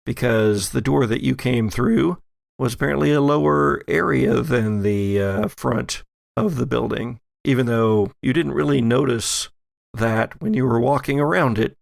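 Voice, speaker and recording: A man narrating a tabletop horror game as game master, in a measured, halting storytelling manner. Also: mid-range voice, creaky, no room sound.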